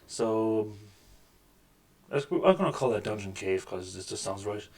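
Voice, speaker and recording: A man with a deep voice hosting a casual let's-play, making wry, joking remarks over the gameplay at a desk microphone. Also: unhurried, creaky, a little mellow. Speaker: gaming channel host. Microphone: desk microphone with mixer.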